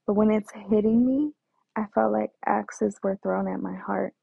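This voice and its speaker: A woman slowly tells her personal story, with a gravelly fry in her voice and frequent hesitations and restarts. The recording is dry and tinny, like a phone line. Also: mid-range voice, fairly even intonation, mellow, no echo. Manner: hesitant, many fillers and restarts. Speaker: guest telling her life story.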